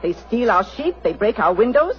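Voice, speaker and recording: An actress playing a haughty, bitter, snobbish English wife with a sharp, imperious delivery, in 1940s radio style. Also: female voice, fairly high pitch, big pitch swings, moderate pace. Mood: indignant. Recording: muffled old radio drama, music.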